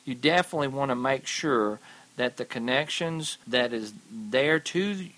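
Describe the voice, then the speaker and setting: A man co-hosting a podcast, giving relaxed, practical advice on repairing arcade and pinball machines. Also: mid-range voice, a little slow. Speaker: repair expert co-host. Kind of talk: casual technical advice.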